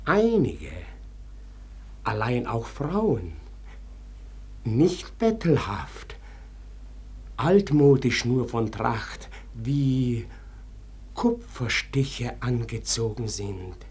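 An actor playing a frightened, deferential manservant in a classic German radio verse drama, speaking hesitantly and anxiously, sometimes sinking toward a whisper. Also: male voice, medium pitch, sing-song, drawn-out words, long pauses, darkish tone, slightly rough, exaggerated voice. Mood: hushed dread. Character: frightened, deferential manservant with a dread of uncanny intruders.

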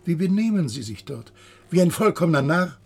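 Haughty probing questioner, raspy, creaky, insistent, rhetorical, radio drama. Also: male voice, on the high side, very animated, fairly quick, full character voice. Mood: indignant. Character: agitated, accusing, inquisitive male interrogator, haughty and probing. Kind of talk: German radio play acting.

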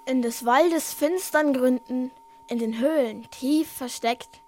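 A woman playing a tender storyteller who recites a romantic robber ballad in slow verse. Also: sits high, a little mellow. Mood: tender, lyrical.

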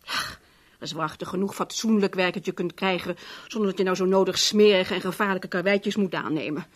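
An actress playing a sceptical, protective assistant in a 1970s radio play, dry and outspoken. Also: female voice, lowish voice, a little fast, lightly acted. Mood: earnest warning.

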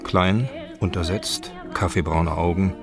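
A man's voice: low voice, steady tone, measured pace, music in the background. A man reading scripted, present-tense scene narration for a radio drama.

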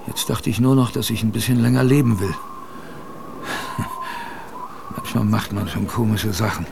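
An earnest, gravelly adventurer looking back. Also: male voice, lowish voice, background noise. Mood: reflective, weary. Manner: conversational storytelling with pauses and repetitions. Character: man recalling his teenage Antarctic expedition, earnest and plain-spoken. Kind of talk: first-person radio drama narration.

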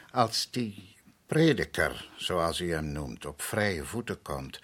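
Proud stubborn island priest in a radio play, very low voice, hard edge, rough, super polite. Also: male voice, a bit bright, exaggerated voice. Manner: measured, courteous, evasive, insistent. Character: proud island head priest, deferential yet stubborn man. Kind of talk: radio drama acting.

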